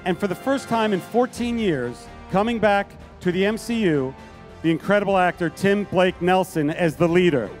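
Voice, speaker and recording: Male stage presenter, middle-aged, high voice, live announcement style over music. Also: normal speed. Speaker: presenter at a fan convention. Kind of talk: stage announcements introducing guests. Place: large convention hall stage. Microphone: handheld microphone.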